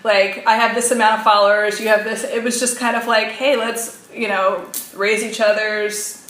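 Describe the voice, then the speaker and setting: A woman's voice: mid-range voice, flat delivery, moderate pace, a bit bright, reverberant, low-quality recording. A woman co-hosting a casual talk show, enthusiastic and chatty, filling her speech with "like". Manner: enthusiastic, chatty, heavy use of "like". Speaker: co-host. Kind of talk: casual chat.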